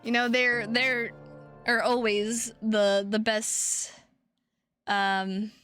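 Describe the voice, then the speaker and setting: Bright, high-voiced tired woman streaming. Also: level tone, clean tone, soft background music. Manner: halting, rambling delivery with drawn-out words. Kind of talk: casual livestream chat to viewers.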